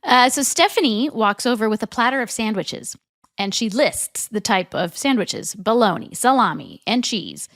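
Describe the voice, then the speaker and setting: A woman's voice: fairly high pitch, very animated, normal speed, fairly bright tone, dry recording. A woman co-hosting a podcast, recounting episode scenes step by step and laughing easily. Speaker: podcast co-host. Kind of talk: episode recap narration and chat.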